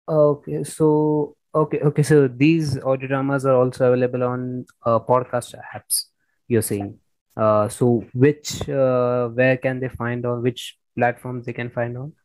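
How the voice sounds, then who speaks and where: A man's voice: mid-range voice, level tone, measured pace, darkish tone, no echo, rough recording. A man hosting a conversational interview, often repeating his words and phrases as he goes. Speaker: podcast host interviewing a guest.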